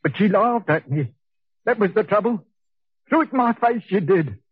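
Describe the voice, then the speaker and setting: An actor playing a coarse, sickly Cockney convict in a 1940s radio drama, husky and slangy, on an old muffled recording. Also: male voice, high-pitched, expressive, moderate pace, no room sound, heavily acted. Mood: anguished confessing. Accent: Cockney English. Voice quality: husky, rough. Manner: slangy, chatty, needling. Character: coarse, hot-tempered, sickly working-class male convict.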